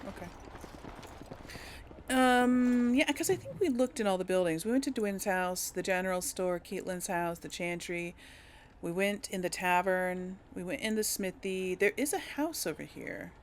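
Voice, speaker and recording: A woman's voice: medium pitch, normal speed, slight background noise. A woman giving casual role-play video game commentary, thinking aloud in a hesitant, conversational way. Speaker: gaming video host and player.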